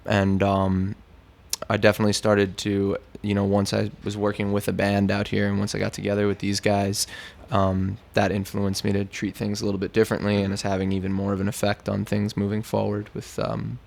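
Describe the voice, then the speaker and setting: Low, creaky-voiced American man, casual. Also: monotone, fairly quick, darkish tone. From New York, United States. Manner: laid-back, slangy, conversational. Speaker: musician being interviewed. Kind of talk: casual interview chat.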